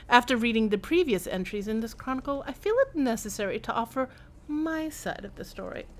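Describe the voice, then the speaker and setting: A woman's voice: fairly high pitch, lightly acted. A woman voicing a pompous old imperial chancellor, declaiming his journal aloud from a fantasy game. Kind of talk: dramatic read-aloud of in-game text.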